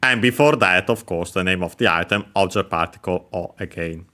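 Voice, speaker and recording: A man explaining Japanese grammar, pausing often with filler sounds as he works through each word. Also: sits low, pushed and bright. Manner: hesitant, many filler sounds, careful explanation. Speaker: Japanese language teacher. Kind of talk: explaining grammar over gameplay.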